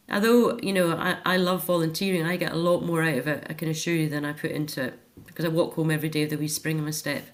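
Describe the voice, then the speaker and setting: A middle-aged Scottish woman talking earnestly and reflectively as a guest in a personal interview. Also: on the low side. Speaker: interview guest, author and care home volunteer.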